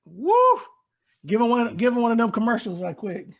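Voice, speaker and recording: A man with a fairly high, husky voice hosts a casual live stream. He sounds hoarse today. He hypes his guest, jokes around and cheers people on. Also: normal speed, darkish tone. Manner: hyped, joking, encouraging. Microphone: phone or computer live stream.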